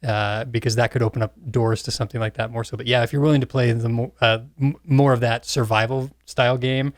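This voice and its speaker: A man's voice: medium pitch, steady tone, fast talker, no echo, clean studio sound. A middle-aged man talking casually as a co-host on a panel podcast.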